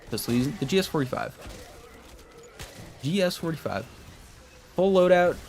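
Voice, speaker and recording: Young male streamer, casual rambling chat, mid pitch, faint background noise. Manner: rambling, talks to chat while playing.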